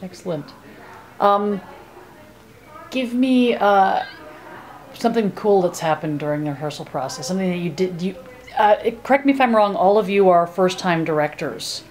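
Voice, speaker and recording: A woman's voice: lowish voice, normal speed, long pauses, a little mellow. A woman interviewer asking questions casually, with informal, hesitant phrasing.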